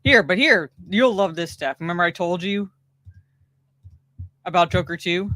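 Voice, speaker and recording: A woman's voice: fairly low pitch, moderate pace, fairly bright tone, dry recording. A woman co-hosting a casual stream chat, blunt and exasperated, swearing freely as she rants. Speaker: co-host and streamer.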